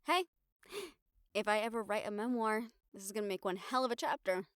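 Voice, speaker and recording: A woman acting a young reporter girlfriend in an intimate audio roleplay, wistful but bravely upbeat, musing in halting, expressive phrases. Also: medium pitch, clean tone, dry recording, clean studio sound, lightly acted. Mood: wry, playful.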